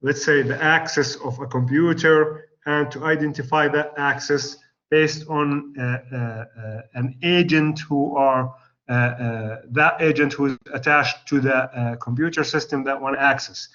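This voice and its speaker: A male lecturer with an Arabic accent, losing his voice, teaching a class in a halting way with many pauses and restarts. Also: medium pitch, steady tone, bright, forceful tone. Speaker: university lecturer. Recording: slightly dull lo-fi recording.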